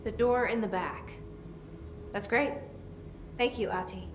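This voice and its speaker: An actress playing a determined young woman, newly made director, who speaks in a calm, dry and understated way. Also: mid-range voice, unhurried, a little hiss or hum, close to an everyday voice.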